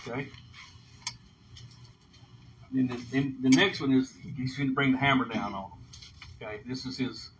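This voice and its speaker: A man's voice: mid-range voice, normal speed, pauses often. A man giving an informal Bible lesson as a teacher, reading aloud and explaining in an instructive way. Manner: explanatory, often checks in with a short "okay?". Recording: dull lo-fi sound, faint background noise.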